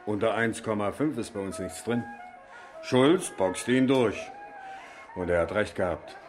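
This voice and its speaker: Garage boss, male actor, radio crime play: lowish voice, brusque, gruffly loyal, plain-spoken gossip. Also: unhurried, over music, lightly acted. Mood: matter-of-fact. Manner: brusque, colloquial. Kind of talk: 1970s radio crime drama acting.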